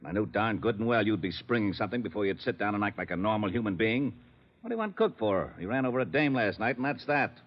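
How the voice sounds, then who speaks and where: An American actor playing an exasperated, griping police lieutenant in a 1940s radio drama. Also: male voice, lowish voice, expressive, fast. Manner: blustering, griping. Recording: muffled old radio broadcast.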